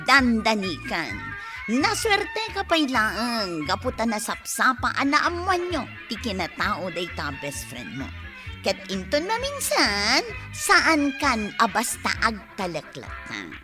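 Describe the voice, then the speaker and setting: A woman's voice: on the high side, wildly swooping pitch, takes it slowly, full character voice. An actress playing a woman who scolds and lectures, drawing out her words. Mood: scolding. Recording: music bed under voice.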